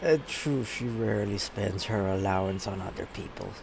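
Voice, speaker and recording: A woman's voice: very deep voice, moderate pace, vocal fry. A woman giving casual playthrough commentary as a game streamer, sometimes reading lines aloud. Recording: noisy, with game sound behind.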